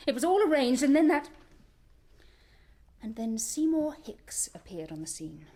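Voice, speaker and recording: A grief-stricken Victorian actress in a radio play. Her voice is mellow and dark, and she talks with hushed intensity, often falling to a bare whisper. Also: high voice, very animated, a little slow, heavily acted. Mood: grieving. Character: grieving Victorian actress, lover of a murdered star. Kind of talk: radio drama acting.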